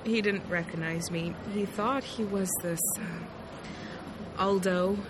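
A woman's voice: medium pitch, steady tone, slow, background noise, lightly acted. An actress playing an earnest, anxious young alien woman in a science fiction audio drama, with a hesitant manner. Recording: loud music under the voice.

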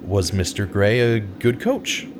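An actor in an audio drama playing an earnest, guilt-ridden investigative journalist in his thirties, with a dramatic, halting delivery. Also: fairly low pitch, very animated, noisy background. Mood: businesslike, inquisitive. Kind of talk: audio drama acting with narration.

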